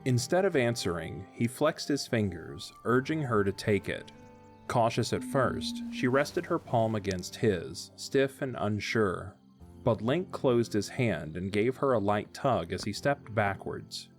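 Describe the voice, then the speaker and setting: A man narrating an audio drama in a measured storytelling delivery. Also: lowish voice, lively intonation, soft background music.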